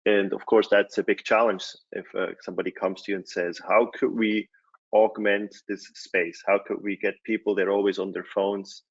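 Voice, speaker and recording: Deep, flat-voiced Swiss man presenting. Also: moderate pace, slightly rough, dull audio. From Switzerland. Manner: relaxed, many fillers and restarts. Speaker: conference speaker, company CEO. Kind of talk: presentation talk.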